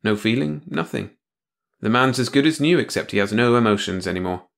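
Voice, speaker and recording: A man's voice: mid-range voice, animated, a little fast, vocal fry. A man voicing a weary, cynical male official who speaks with dry bitterness. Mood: bitter.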